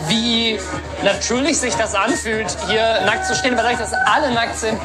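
A man giving an excited, spontaneous first-person report on location. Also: very high voice, normal speed. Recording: loud music and crowd on location. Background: loud music, busy crowd.

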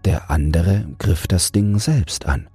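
A man's voice: sits low, takes it slowly, dark tone, slightly rough, dry recording, soft background music. A man narrating a fairy tale as a scripted bedtime audiobook.

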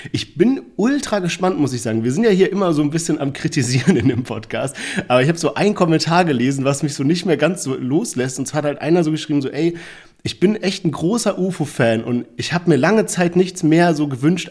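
A man's voice: a little high, a little mellow. A man co-hosting a podcast, chatting loosely about rap releases with plenty of fillers and hedges. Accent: Palatinate German. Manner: loose, filler-heavy, hedging. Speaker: podcast co-host.

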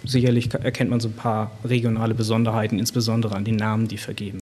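A man explaining computer science in a panel discussion, pausing often with fillers and restarts and using everyday examples. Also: on the low side, little pitch movement, darkish tone, slightly rough.

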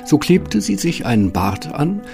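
A man's voice: a little high, big pitch swings, unhurried, creaky, over music. A man narrating a fairy tale from a script as the storyteller of a radio play.

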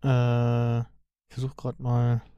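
A man co-hosting a podcast, chatting casually and haltingly as he searches for words. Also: mid-range voice, monotone, dark tone, smooth, no room sound, highs cut off. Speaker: podcast co-host. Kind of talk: casual chat about cartoons.

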